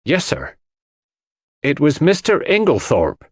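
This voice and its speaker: A man's voice: a little high, wildly swooping pitch, slow pace, gravelly, breathy edge, dry recording, studio quality, voice pushed up, exaggerated voice. A man voicing a nervous, respectful young pharmacist's assistant in an audiobook, giving short deferential answers. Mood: earnest, nervous. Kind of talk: audiobook character dialogue.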